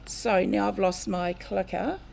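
An older woman with a New Zealand accent and a croaky voice, giving an earnest public talk as a researcher at a lectern mic in a meeting room. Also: mid-range voice, steady tone, darkish tone, slight background noise. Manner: measured, earnest, with long greetings in Māori. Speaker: guest speaker, researcher. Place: community meeting room, indoors.

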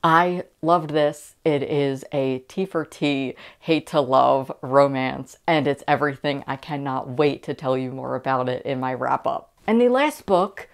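A woman's voice: a bass voice, unhurried, few pauses. A young adult woman speaking enthusiastically and casually to camera as a book vlogger.

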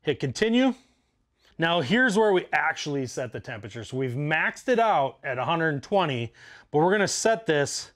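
A man in his forties, a plumber, casually talking through an installation step by step in a basement utility room. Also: on the high side. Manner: practical, walks through steps out loud. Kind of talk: casual how-to demonstration.

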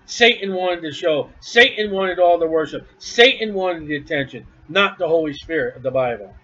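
A man's voice: fairly high pitch, animated, normal speed, bright, forceful tone, dull audio. A man preaching a sermon from home, blunt, scornful and emphatic.

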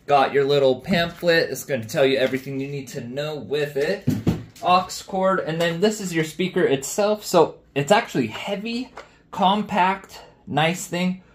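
A man's voice: a little high, unhurried, a little hiss or hum. A man giving casual, slangy, off-the-cuff commentary as he reviews a product. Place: small indoor room with shelves of speakers.

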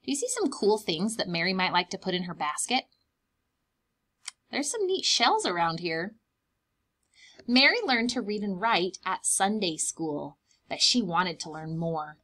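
A woman's voice: mid-range voice, pushed and bright, husky. A young woman reading a picture book aloud to small children, with dramatic emphasis and simple explanatory asides. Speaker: preschool teacher reading aloud.

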